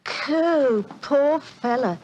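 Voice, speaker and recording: A woman's voice: sits high, lively intonation, drawn-out words, darkish tone, muffled recording, heavily acted. A woman playing a brash, gossipy working-class London woman with a Cockney accent in 1940s radio style, on a noisy old recording. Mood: chatty, confiding. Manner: colloquial, forthright.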